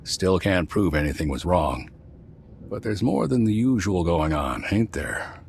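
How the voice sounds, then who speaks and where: A seasoned male squad leader in a spy game. His voice sits low, and he speaks plainly and sincerely with a folksy turn. Light ambient noise runs behind him. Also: very animated, unhurried, gravelly, a little mellow, heavily acted. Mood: frank, resigned. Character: weathered, plain-spoken male spy field team leader. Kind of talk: video game drama acting.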